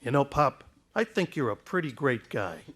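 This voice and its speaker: A man's voice: mid-range voice, normal speed. An eager, restless young man. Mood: earnest. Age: young adult. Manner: earnest, animated. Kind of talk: radio play acting.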